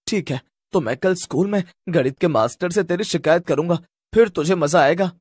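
Man playing an indignant, husky young boy. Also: high-pitched, moderate pace, a little mellow, no room sound, clean studio sound. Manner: indignant, insistent. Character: indignant rich young boy standing up for himself.

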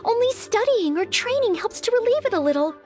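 A woman's voice: very high voice, lively intonation, a little fast, a little mellow, soft background music. A woman voicing an earnest, anxious young maid who dreams of knighthood in a video game, speaking politely and hesitantly. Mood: anxious, apologetic. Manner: polite, hesitant, self-doubting.

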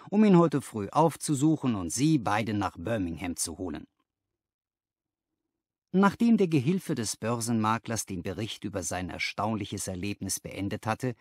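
A man narrating a literary story as an audiobook, with expressive delivery and careful phrasing. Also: mid-range voice, no room sound, studio quality. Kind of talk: scripted dramatic literary narration.

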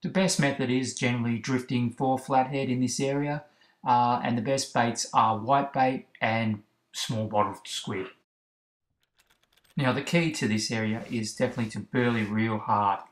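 Man giving steady fishing instructions. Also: mid-range voice, normal speed. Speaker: fishing channel host. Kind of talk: instructional voice-over about kayak fishing spots. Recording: roomy, echoey recording.

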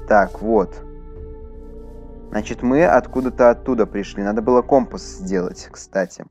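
A man's voice: on the low side, darkish tone. A man doing casual, chatty let's play commentary, talking to his viewers as he plays. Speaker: gaming YouTuber.

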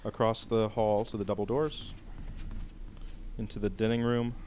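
A man's voice: on the low side, little pitch movement, normal speed, darkish tone, a little hiss or hum. A man co-hosting a game playthrough, casually guiding his partner with teasing, profane banter. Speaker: guiding let's-play co-host. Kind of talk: casual let's-play banter. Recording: game music underneath.

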